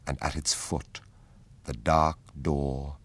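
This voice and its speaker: A man with a husky voice, narrating an epic fantasy radio drama in a solemn, measured way. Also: extremely low pitch, level tone, full character voice.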